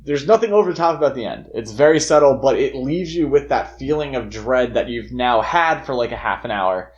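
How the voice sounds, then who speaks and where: An emphatic man with a mid-pitched voice talks films as a podcast co-host. He is casual and conversational, and states his opinions firmly. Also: animated, a little fast, highs cut off. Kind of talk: casual film discussion.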